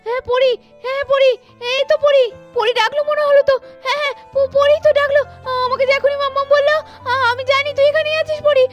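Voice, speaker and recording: A woman voicing an anxious, tender, grieving village woman in a doll-story cartoon, lamenting in halting, repeated phrases. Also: very high voice, little pitch movement, lo-fi sound, faint music behind. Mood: startled, yearning.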